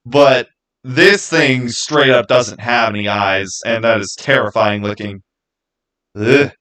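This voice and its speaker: A man's voice: mid-range voice, takes it slowly, a bit bright. A man chatting casually to viewers on a livestream, rambling and repeating words for emphasis. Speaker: YouTube creator and livestream host. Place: small home studio, indoors.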